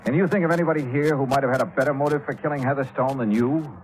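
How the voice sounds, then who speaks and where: An American actor playing a tough, probing insurance investigator in a 1950s radio drama, clipped and commanding. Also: male voice, medium pitch, very fast, lightly acted, rough recording. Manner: clipped, commanding, hard-boiled. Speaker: narrator and lead actor. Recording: 1950s radio broadcast recording.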